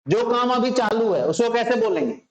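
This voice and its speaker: A man with an Indian accent teaching English informally, repeating phrases slowly and often checking that learners understand. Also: very high-pitched, lively intonation. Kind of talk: informal spoken lesson. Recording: roomy echo, slightly dull sound.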